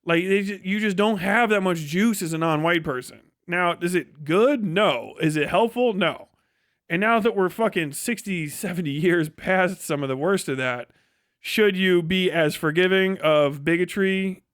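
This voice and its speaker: A man's voice: high voice, a little slow, fairly bright tone, no echo, clean studio sound. A man live streaming a casual, opinionated monologue in a profane, slangy, rambling and emphatic way.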